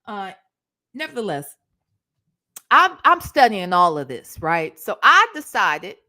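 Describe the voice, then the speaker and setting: A woman's voice: mid-range voice, slow pace. A middle-aged American woman from Ohio telling stories casually as a livestream host, emphatic and sassy, often checking in with the listener. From Ohio, United States. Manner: animated, emphatic, sassy, conversational with tag questions. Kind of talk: casual storytime chat.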